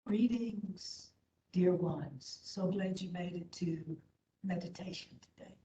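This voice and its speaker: A woman leading a guided meditation as an instructor, speaking in a soothing, gentle, unhurried manner. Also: on the low side, a little hiss or hum. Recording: echoey room, slightly dull sound.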